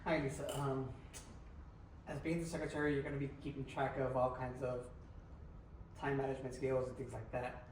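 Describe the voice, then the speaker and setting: A man's voice: medium pitch, flat delivery, moderate pace, long pauses, very noisy. A man on a student panel, casually asking a candidate a question. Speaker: panel member. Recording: very echoey room, from far off.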